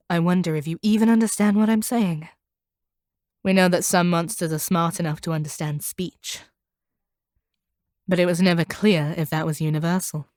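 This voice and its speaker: Fantasy audio drama: actress as a ruthless, commanding woman monster hunter. Lowish register, haughty and stern delivery, very dry sound. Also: no echo, lightly acted. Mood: stern, threatening. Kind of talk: scripted audio roleplay acting.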